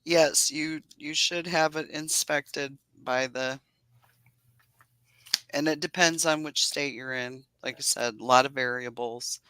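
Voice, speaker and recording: A woman's voice: rumbling low, steady tone, slow, sharp tone, smooth, no echo. A woman beekeeper with a soothing voice, explaining patiently and laughing often in a casual interview. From Indiana. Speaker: beekeeper guest.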